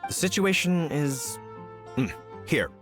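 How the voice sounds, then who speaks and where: Earnest, animated young knight. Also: male voice, fairly high pitch, slow pace, soft background music. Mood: apologetic, earnest. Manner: polite, formal, earnest. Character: earnest, courteous, dutiful young city knight. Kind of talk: video game voice acting.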